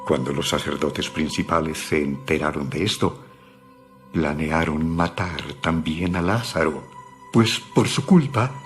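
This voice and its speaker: A man with a deep voice narrates a dramatized Bible story. He reads from a script, with faint music behind him.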